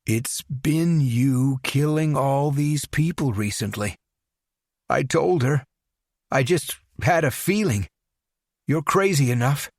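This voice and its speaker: A man's voice: mid-range voice, animated, takes it slowly, dry recording, studio quality, voice pushed up. A man voicing an older father pleading with his dangerous son.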